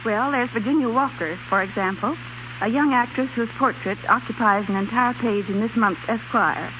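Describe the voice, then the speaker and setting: A woman's voice: a little high, moderate pace. A middle-aged woman giving formal, orderly, scripted answers as a guest in a 1930s radio interview. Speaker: club director, radio guest. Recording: noisy, dull 1930s radio broadcast.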